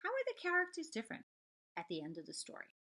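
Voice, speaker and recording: A woman teacher reading aloud to young children, explaining things deliberately and speaking to them directly with expressive storybook delivery. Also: extremely high pitch.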